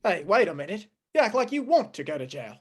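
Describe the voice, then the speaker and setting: A woman playing a gruff, commanding police sergeant in a 1950s radio play, full of cop slang. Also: medium pitch, big pitch swings, fast talker. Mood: brisk, puzzled.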